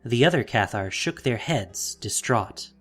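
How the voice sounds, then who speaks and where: A man's voice: mid-range voice, animated, a little slow, a bit bright, husky, faint music behind. A man narrating a scripted fantasy story in a dramatic, solemn way.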